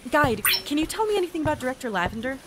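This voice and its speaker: A woman's voice: sits high, sing-song, very fast, heavy background noise. A woman playing an eager, flippant but anxious interdimensional agent in an audio drama, chatty, hesitant and self-deprecating. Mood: wry, resigned. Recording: musical backing. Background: music.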